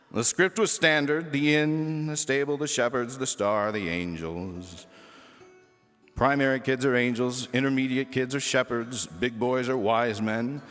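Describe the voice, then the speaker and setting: A man's voice: mid-range voice, fairly bright tone, long reverb tail. A man reading a children's book aloud as a storyteller, with theatrical, emphatic delivery. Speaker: audiobook reader.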